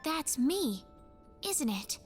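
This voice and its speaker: An actress playing a gentle, earnest teenage girl, the wistful heroine of a video game. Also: sits high, big pitch swings, drawn-out words, faint music behind, exaggerated voice. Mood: uncertain. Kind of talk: video game dialogue acting.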